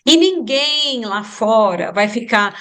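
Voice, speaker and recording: A woman's voice: a little high, lively intonation, slow pace, pushed and bright. A Brazilian woman with a Brazilian Portuguese accent, an English teacher giving advice in an interview, emphatic and repeating her points for emphasis.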